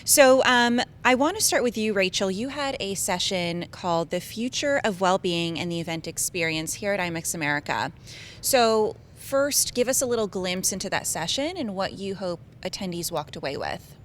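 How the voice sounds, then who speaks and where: Hoarse raspy woman hosting an interview. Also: medium pitch, animated, moderate pace, a little hiss or hum. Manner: upbeat, chatty, uses many ums. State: hoarse, losing her voice. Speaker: show host. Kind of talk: live trade-show interview. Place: trade show venue.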